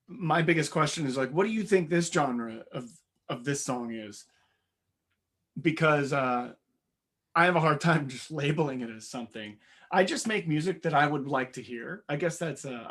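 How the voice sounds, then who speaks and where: A young man with a raspy voice, answering interview questions in a modest, rambling way with many fillers. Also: a little high, a bit bright. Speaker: interview guest, musician. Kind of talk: casual interview chat.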